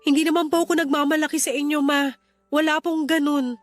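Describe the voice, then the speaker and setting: A woman's voice: sits high, little pitch movement, fast, no echo, faint music behind, close to an everyday voice. A Filipino woman playing a respectful, aggrieved young mother in a radio drama, pleading politely while standing her ground. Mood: hurt pleading.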